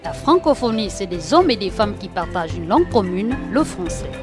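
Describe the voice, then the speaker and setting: Lively woman promo announcer. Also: mid-range voice, moderate pace. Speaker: station promo voice. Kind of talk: scripted promo narration. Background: music.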